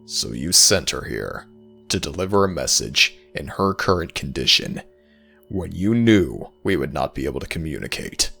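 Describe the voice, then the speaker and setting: Casting: brooding underworld jackal god, bottomless bass growl, forceful edge, humourless formal speech, fantasy audio drama. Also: male voice, very animated, normal speed, faint music behind, full character voice. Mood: indignant, stern. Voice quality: very deep, gravelly. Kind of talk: scripted fantasy audio roleplay.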